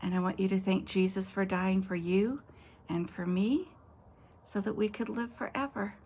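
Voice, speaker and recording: A woman pastor telling children a story and a short devotional outdoors at night, speaking gently and directly to the kids. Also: medium pitch, fairly even intonation, normal speed, slightly rough.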